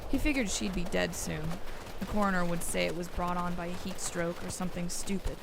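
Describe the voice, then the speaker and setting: A woman narrates a scripted audio story. Her pitch is a bit lower than most women's. Noticeable noise and ambience sit behind her voice. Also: fairly even intonation, brisk. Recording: clear background noise and ambience.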